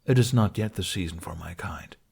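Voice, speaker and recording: Man playing a gravelly, sardonic male dragon. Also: deep voice, darkish tone, full character voice. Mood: guarded. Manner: dry, blunt, deliberate retorts.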